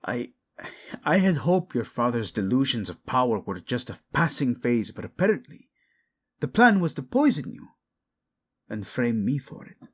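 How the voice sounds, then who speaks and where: A man's voice: mid-range voice, sing-song, moderate pace, breathy edge. A man playing a proud, vengeful young king, fiercely protective of his wife, in a dramatic romance audio roleplay. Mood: grave, wounded. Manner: brooding, commanding, tender in endearments.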